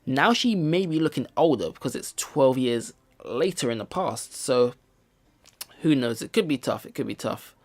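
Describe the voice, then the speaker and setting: A man doing a let's play, reading visual novel narration aloud in an expressive storytelling style. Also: mid-range voice. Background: game music.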